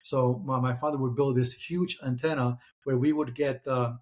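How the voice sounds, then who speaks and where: A man's voice: medium pitch, flat delivery, moderate pace. A middle-aged man who grew up in Czechoslovakia, a Czech native speaker, talking as an interview guest with frequent restarts and fillers. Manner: hesitant, many restarts and fillers. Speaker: author and guest. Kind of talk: conversational interview.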